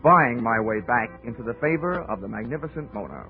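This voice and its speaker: A man's voice: mid-range voice, animated, fairly quick, close to an everyday voice. Man voicing a dry wry investigator, mid-range voice, tinny old radio broadcast, music underneath. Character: wry male insurance investigator, narrating. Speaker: narrator and lead. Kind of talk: 1940s radio acting.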